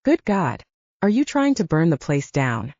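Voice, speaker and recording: A woman voicing a calm protective werewolf man. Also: fairly high pitch, a little slow, dry recording, full character voice. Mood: alarmed. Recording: dull lo-fi dry sound.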